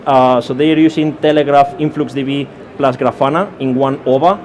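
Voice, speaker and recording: A man's voice: medium pitch, steady tone, moderate pace, a little mellow, a little hiss or hum. A man from Spain with a Spanish accent, giving a technical conference talk with many fillers and restarts. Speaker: conference speaker. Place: conference session room.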